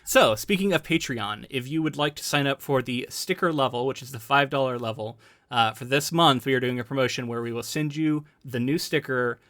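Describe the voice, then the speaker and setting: A man's voice: mid-range voice, brisk, dry recording, clean studio sound. A man co-hosting a podcast, sounding a little congested, chatting casually with lots of ums and restarts. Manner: rambling, animated, frequent ums and restarts. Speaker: podcast co-host.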